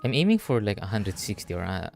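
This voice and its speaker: A man's voice: on the low side, sing-song, fast, gravelly. A man from the Philippines with a Filipino accent, thinking aloud in casual livestream game commentary. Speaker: streamer. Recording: game music behind the voice. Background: video game music.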